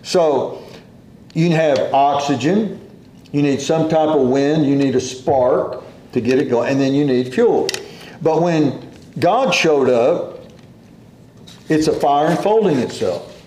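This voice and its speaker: A man preaching a sermon in an unhurried, folksy way, quoting scripture as he goes. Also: on the high side, mellow, roomy sound, slight background noise, rough recording.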